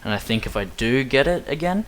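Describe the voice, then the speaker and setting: A man's voice: mid-range voice, animated, fairly quick, a little hiss or hum, rough recording. A young Australian man with an Australian accent giving chatty, rambling commentary while speedrunning a game. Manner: chatty, self-deprecating, rambling asides. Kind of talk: casual commentary over gameplay.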